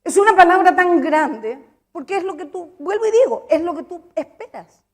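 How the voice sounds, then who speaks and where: A woman preaches in a high voice and builds emphasis by repeating her lines. She stops to ask her listeners whether they follow her. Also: expressive, moderate pace, a little mellow. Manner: emphatic, repeats phrases, asks the congregation questions. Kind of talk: sermon.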